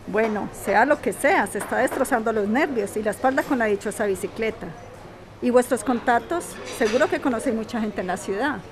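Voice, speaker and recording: A woman's voice: fairly high pitch, fairly quick, close to an everyday voice. A woman acting a blunt, down-to-earth bar waitress in an audio drama. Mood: frank. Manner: frank, plain-spoken. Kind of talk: audio fiction podcast acting. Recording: bar crowd noise behind.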